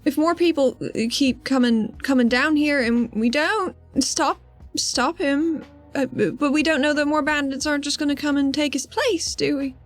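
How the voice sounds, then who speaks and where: A woman roleplaying in a tabletop game, speaking timidly with halting, stammered phrases. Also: high-pitched. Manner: hesitant, timid, stammering in character. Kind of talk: in-character roleplay. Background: faint music.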